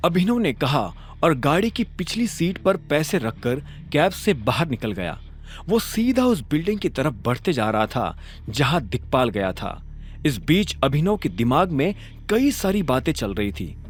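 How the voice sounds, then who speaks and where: A man narrating a scripted audio drama in a dramatic storytelling style. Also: a little high. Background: background music.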